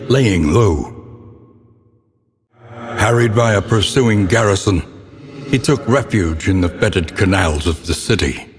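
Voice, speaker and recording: A grim, foreboding storyteller in a dark gothic game. The man's voice sits very low and moves slowly, each phrase weighed with theatrical gravity. It rings out in a long reverb. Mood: somber, dramatic. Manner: grave, theatrical, measured, ornate delivery. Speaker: narrator. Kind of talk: dramatic scripted gothic game narration. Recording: long reverb, noisy ambient bed.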